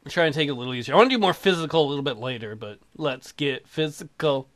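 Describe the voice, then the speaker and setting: A man's voice: a little high, animated, fast talker, a bit bright. A man hosting a let's play, casually commenting and reading aloud in an irreverent, joking, sweary way.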